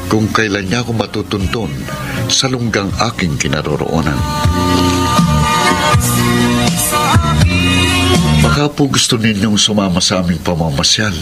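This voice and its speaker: Deep-voiced young Filipino suitor played by an actor. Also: male voice, lively intonation, fairly quick, close to an everyday voice. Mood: brooding narration. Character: young man in love, smooth-tongued suitor. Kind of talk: Filipino radio drama acting. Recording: music behind the voice.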